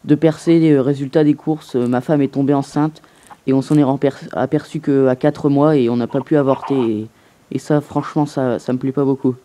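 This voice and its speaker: Halting man with a mellow voice. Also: medium pitch, fairly even intonation. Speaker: prank caller. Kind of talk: prank phone call, posing as an aggrieved customer.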